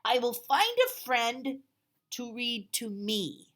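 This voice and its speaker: A woman's voice: slow, exaggerated voice. A woman voicing an eager young dragon. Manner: high, loud, excited pleading. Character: eager young male dragon who loves books.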